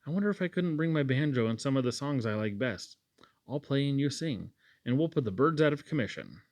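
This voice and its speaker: A man's voice: mid-range voice, lively intonation, fairly quick, a little mellow, natural voice. A man voicing an eager, sweet, chatty young woman in a storybook, with gushing exclamations. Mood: lively, gushing.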